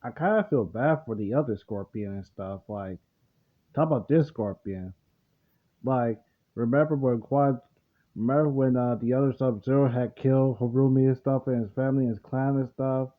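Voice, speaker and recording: Male reaction host, casual rambling commentary, medium pitch, repetitive phrasing, thin muffled phone-like recording. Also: moderate pace. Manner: loose, rambling, repeats himself. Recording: muffled, phone-like narrow sound.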